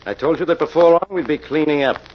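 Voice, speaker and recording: An actor playing a scheming manager of a phony medium in an old radio drama, placating and insistent. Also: male voice, fairly high pitch, fast, close to an everyday voice. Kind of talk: 1940s radio acting. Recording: dull old radio recording with faint noise.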